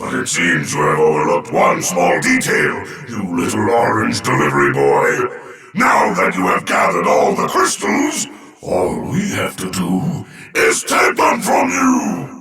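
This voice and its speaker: A man's voice: wildly swooping pitch, a little slow, gravelly, bright, forceful tone, faint music behind, exaggerated voice. A man voicing a wrathful, imperious evil overlord, menacing and theatrical, for a video game. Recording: heavy booming reverb.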